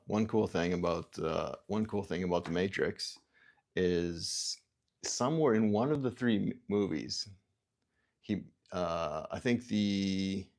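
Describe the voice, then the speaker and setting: A man from Canada talks on a livestream in a very low voice with a gravelly, creaky edge. He muses aloud in halting, restarting sentences and reads viewer comments between thoughts. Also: darkish tone. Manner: halting, self-interrupting, musing aloud. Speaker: livestream host. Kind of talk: rambling livestream chat.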